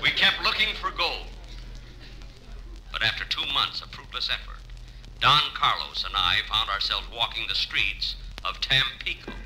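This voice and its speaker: A man playing a tough, callous gold prospector in a radio comedy sketch, with a dry, deadpan delivery. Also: mid-range voice, a little slow, voice pushed down, close to an everyday voice. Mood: unmoved. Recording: noisy old radio broadcast recording.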